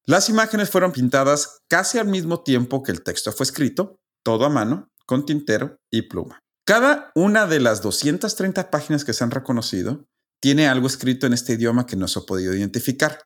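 Podcast host, man, mid-pitched, methodical explainer who restarts phrases. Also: expressive, a little fast. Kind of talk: casual explanatory podcast chat.

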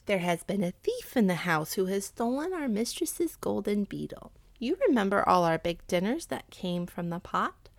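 A woman reading aloud voices a clever, scheming male cat who talks with calm confidence. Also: normal speed, hardly any pauses, no echo. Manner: confident, explanatory, slightly raised pitch.

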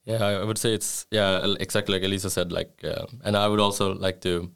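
A man's voice: deep voice, little pitch movement, fast, creaky, fairly bright tone, clean tone. A man in a casual group chat, talking loosely with lots of "like" and trailing off.

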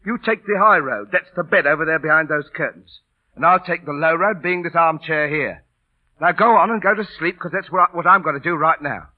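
An actor playing a cocky, teasing but kindhearted working-class London busker, in theatrical old radio drama style. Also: male voice, a little high, fairly quick, close to an everyday voice. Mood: wry, teasing. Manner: theatrical, wry, colloquial. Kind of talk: 1930s-40s radio drama acting. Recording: muffled old radio broadcast recording.

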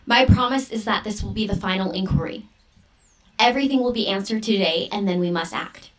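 An actress playing an earnest, defiant young daughter in an audio drama, speaking with intense, deliberate, poetic phrasing. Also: female voice, medium pitch, close to an everyday voice, poor audio. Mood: solemn, resolute. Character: earnest, defiant teenage daughter preparing for ritual role. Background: music under the voice.

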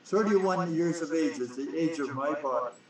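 A man in his nineties speaks from some way off the mic in a very echoey room. His voice sits a little high, and he is insistent, repeating points and tripping over words as he talks as a guest. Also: normal speed, far from the mic. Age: 90s. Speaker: guest, sprout grower inventor. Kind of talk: casual interview.

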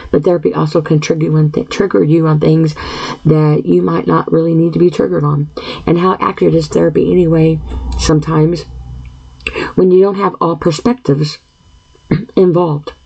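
A woman in her fifties speaking an earnest, halting personal monologue, often clearing her throat and pausing on fillers. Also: sits low, steady tone, warm tone, dull audio. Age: 50s.

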